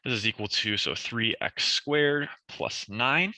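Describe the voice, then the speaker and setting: A young man tutoring a math review session, talking through problems step by step and correcting himself, his voice a bit worn as he clears his throat. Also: mid-range voice, slow, sharp tone, no room sound. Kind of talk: recorded review session lecture.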